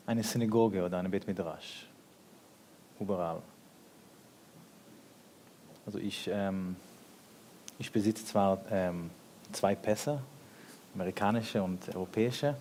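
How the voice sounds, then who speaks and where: Man, panel guest, low mellow voice, soft and hesitant delivery, faint hiss behind. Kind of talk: panel discussion. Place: event hall with audience.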